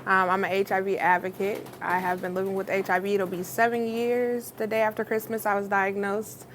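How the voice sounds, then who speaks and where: A woman's voice: medium pitch, flat delivery, brisk. A woman from Iowa introducing herself on a panel in a mid-range voice. She speaks casually, a little nervous and plain-spoken. Faint noise hums behind her. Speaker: HIV advocate. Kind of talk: panel discussion.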